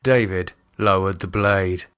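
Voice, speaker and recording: A man reading a horror novel as a dramatic audiobook, measured and expressive in his delivery. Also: low-pitched, clear, resonant tone. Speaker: author and narrator reading own novel.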